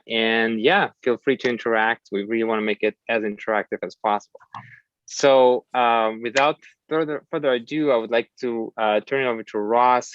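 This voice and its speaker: Male webinar host, relaxed, filler-heavy, dull dry video-call audio. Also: lowish voice, dry recording. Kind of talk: webinar opening announcements.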